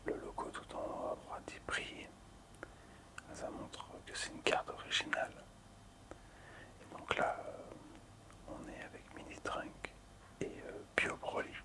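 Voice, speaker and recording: A man hosting casual ASMR in a breathy, unvoiced whisper, hushed, hesitant and appreciative. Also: unhurried, long pauses. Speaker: ASMR video host.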